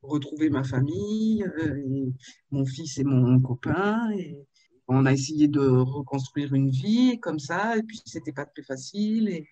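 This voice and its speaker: A woman in her fifties from Marseille, telling her life story in a video-call interview, with frequent pauses and fillers. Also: low voice, lively intonation, warm tone, dry recording, muffled recording. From Marseille, France. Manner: hesitant, with many fillers and restarts. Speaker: author and interview guest.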